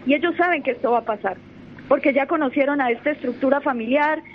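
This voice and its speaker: A woman's voice: high-pitched, quick pace. A young Colombian woman, a lawyer, talking in an assertive, self-assured way as a guest on a radio interview, sometimes repeating words while she gathers her thoughts. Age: young adult. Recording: muffled radio audio with faint noise.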